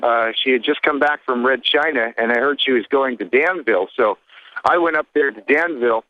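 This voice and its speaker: A mid-pitched male voice comes through a thin, phone-like line. He tells his story to the host plainly and steadily. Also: fairly quick, no echo. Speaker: caller.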